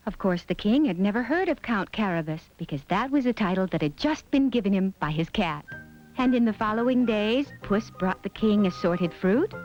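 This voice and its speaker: A woman's voice: mid-range voice, animated, normal speed, a little mellow. A woman narrating a children's fairy tale from a script, as a storyteller. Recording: dull audio with music behind. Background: music.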